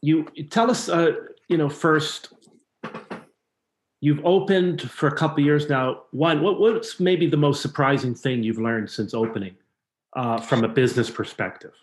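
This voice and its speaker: A man's voice: on the high side, moderate pace. A man asking questions as an interviewer in a casual conversational style, recorded with an echoey room sound.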